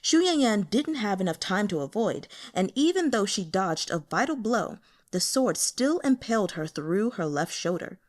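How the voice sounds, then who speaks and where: A woman's voice: mid-range voice, sing-song, moderate pace. A woman reading a fantasy novel aloud as scripted audiobook narration. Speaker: audiobook reader.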